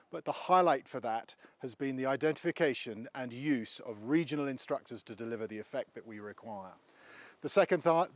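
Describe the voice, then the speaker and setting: A man giving a formal interview statement in a measured, official way. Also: mid-range voice, no room sound, muffled recording.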